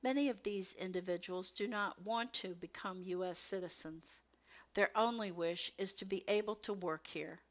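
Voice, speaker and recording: A woman narrates educational material from a script at a middle pitch. She reads in a measured manner with careful enunciation, like a textbook narrator. The recording is a little dull and lo-fi.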